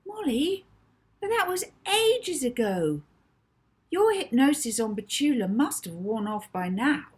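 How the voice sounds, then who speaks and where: A woman's voice: high voice, big pitch swings, unhurried, slightly rough, voice pushed up, heavily acted. A woman voicing a young boy in a children's audiobook, a reassuring best friend who explains things insistently and matter-of-factly. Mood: surprised, reassuring. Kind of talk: children's audiobook character dialogue.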